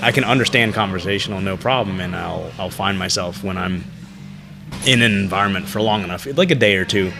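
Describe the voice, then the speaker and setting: A man's voice: sits low, fairly quick, creaky, music in the background. A man from the United States co-hosting a podcast, chatting casually and asking curious questions with many fillers. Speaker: podcast co-host. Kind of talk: casual chat.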